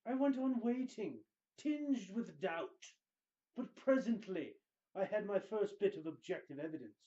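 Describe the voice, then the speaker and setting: A man voicing a pompous, theatrical wizard host who gives grandiose dramatic readings with heavy pauses. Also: high-pitched, very animated, dark tone, highs cut off. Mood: ominous, measured.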